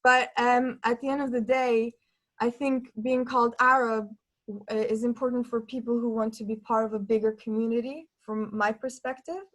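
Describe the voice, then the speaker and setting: A woman from Norway taking part in a panel discussion, talking in an earnest, reflective way and pausing to restart her thoughts as she goes. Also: fairly high pitch, fairly even intonation, rough recording. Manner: earnest, thinking aloud with pauses and restarts.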